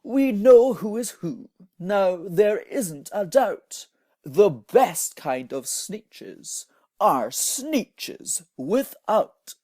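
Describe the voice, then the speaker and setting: A man with a Scottish accent voicing snooty, squabbling beach creatures from a children's story, declaiming loudly and indignantly. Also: sits high, big pitch swings, drawn-out words, no room sound, clean studio sound, pitched above the performer's own voice, exaggerated voice. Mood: haughty shouting.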